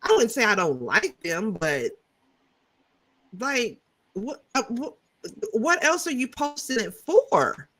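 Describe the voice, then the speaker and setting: A woman's voice: a little high, very animated, slow, long pauses, a bit bright, rough recording. A woman co-hosting a casual reality TV review who gives candid opinions and speaks straight to the listeners. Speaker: podcast co-host.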